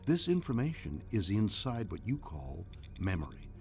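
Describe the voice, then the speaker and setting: A stately artificial mind from a science fiction game acted by a man speaks very low, with a widely moving melody and faint music behind. The manner is formal, deliberate and gently puzzled. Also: very animated, gravelly. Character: male mind housed in an alien obelisk, puzzled, formal.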